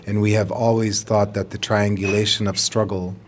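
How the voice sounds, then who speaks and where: A man in his forties from Palestine talks as an interview guest, with a deep, low voice. He explains things in an earnest, measured way. A faint background hiss runs under his speech. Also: level tone. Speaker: guest, educator and organizer. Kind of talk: conversational interview.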